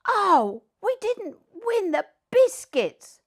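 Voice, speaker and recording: A woman voicing an eager, excitable young male terrier in a read-aloud story. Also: very high-pitched, very slow, voice pushed up, heavily acted.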